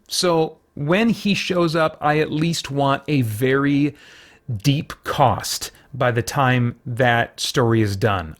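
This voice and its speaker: A man with a mid-pitched voice delivers casual review commentary as a show host. He speaks off the cuff, often feeling for the right word partway through a thought. The delivery is conversational and opinion-led.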